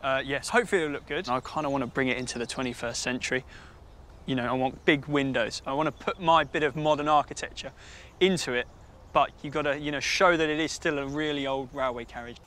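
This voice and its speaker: A man's voice: mid-range voice, quick pace, a bit bright, a little hiss or hum. Easygoing young man in his twenties. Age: 20s. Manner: easygoing, modest, laughing at himself. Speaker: architecture student and builder. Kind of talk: casual conversation. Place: workshop and outdoor yard.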